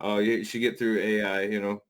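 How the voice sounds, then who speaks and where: A man's voice: fairly low pitch, little pitch movement, normal speed. A man talking casually as a podcast panelist, brainstorming in an informal, jokey way with hesitant phrasing.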